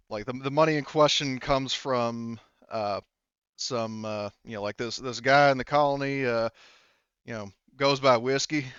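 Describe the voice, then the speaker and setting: A man running a tabletop roleplaying game, narrating casually in a halting, rambling way with some swearing. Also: medium pitch, a bit bright, clear, resonant tone, no echo, muffled recording. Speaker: game master.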